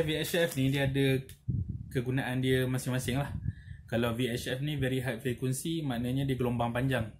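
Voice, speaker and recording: A man's voice: medium pitch, slight background noise. A man with a Malaysian accent giving a casual, explanatory product review, often adding little checking tags. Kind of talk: casual unboxing and review talk.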